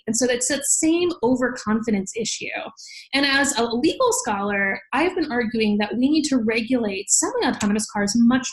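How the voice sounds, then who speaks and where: A woman's voice: a little high, poor audio. A woman speaking as an interview guest, explaining in an articulate, emphatic way like a scholar. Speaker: podcast guest, legal scholar.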